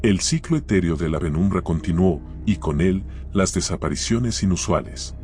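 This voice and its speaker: A man with a very low voice narrates a scripted horror tale in the role of storyteller. Music plays clearly behind his narration. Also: animated, moderate pace, a little mellow. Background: background music.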